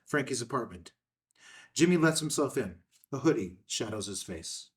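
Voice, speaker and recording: A man's voice: mid-range voice, expressive, moderate pace, far from the mic. A man narrating scene headings and stage directions from a screenplay in a scripted read.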